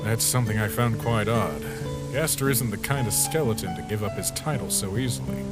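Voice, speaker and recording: A man's voice: fairly low pitch, lively intonation, fairly quick, lightly acted. A man voicing an easygoing, joke-loving skeleton sentry in a comic dub, casual and musing. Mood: relaxed, sincere. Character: easygoing skeleton sentry who loves jokes, troubled by nightmares. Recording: music behind the voice.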